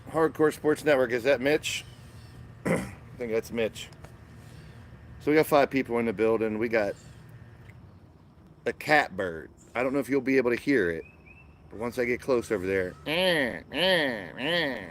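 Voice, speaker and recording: A man with a raspy morning voice hosting a casual live stream from his backyard garden, chatting and greeting viewers by name. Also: medium pitch, expressive, normal speed, pauses often, slight background noise. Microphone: small phone-mounted microphone.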